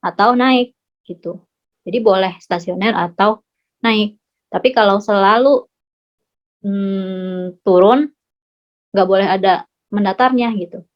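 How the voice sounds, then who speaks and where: A woman tutoring math in a casual, chatty way, often asking whether her students follow. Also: medium pitch. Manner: chatty, checks understanding often. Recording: slightly dull lo-fi audio.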